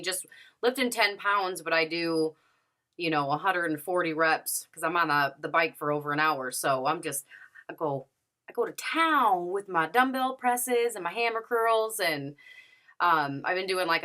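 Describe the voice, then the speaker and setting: Bright-voiced woman chatting candidly. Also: on the low side. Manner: candid, chatty, many fillers and restarts. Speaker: vlogger. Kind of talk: casual story-time monologue. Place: at home, sitting.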